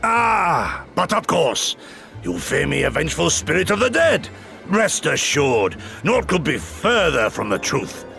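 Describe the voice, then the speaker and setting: An actor playing a boisterous old samurai general who declaims in archaic, theatrical speech for a video game. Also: male voice, a little high, big pitch swings, unhurried, vocal fry, sharp tone, soft background music, exaggerated voice. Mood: puzzled, booming reassurance. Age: older.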